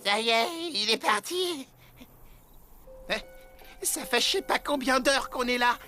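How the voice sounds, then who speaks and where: A woman voicing a cocky, cowardly, slangy loudmouth boy in a French cartoon dub. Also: a little high, animated, slow pace, soft background music, full character voice. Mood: grumbling. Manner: slangy, brash.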